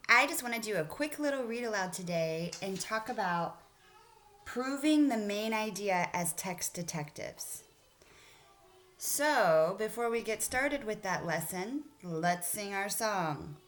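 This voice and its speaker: A woman's voice: medium pitch, expressive, measured pace. Woman teacher leading a children's read-aloud lesson. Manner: encouraging, instructive, addressing children directly. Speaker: elementary school teacher.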